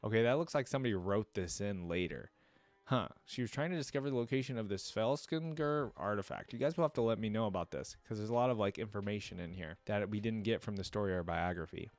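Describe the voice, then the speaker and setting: A man's voice: lowish voice, expressive, quick pace, no echo, faint music behind. A man hosting a reaction video, reading text aloud and commenting on it in an informal, thinking-aloud way. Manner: informal, thinking aloud between read passages.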